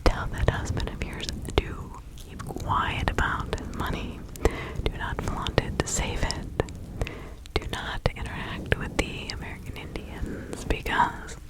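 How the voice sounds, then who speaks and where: A woman whispering slowly and breathily in an ASMR roleplay, playing a kindly, protective witch who gives careful instructions. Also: rough recording. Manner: slow, deliberate, instructing with soft repetition. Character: kindly, protective 17th-century witch woman giving counsel.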